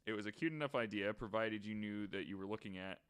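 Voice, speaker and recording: A man's voice: on the low side, fast talker, a bit bright, clear, resonant tone. A man on a podcast panel, chatting casually and reading stories aloud.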